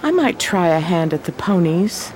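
A woman's voice: fairly low pitch, very animated, normal speed, darkish tone, slight background noise. A woman playing a bold, curious, teasing heroine in a 1920s period radio crime drama. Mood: sociable, curious. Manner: sociable, probing, slangy.

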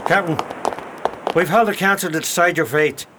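Casting: man for stern pirate crew spokesman, high voice, formal old seafaring speech, radio drama. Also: moderate pace, crowd noise, noisy background, lightly acted. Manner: declamatory, formal archaic seafaring talk.

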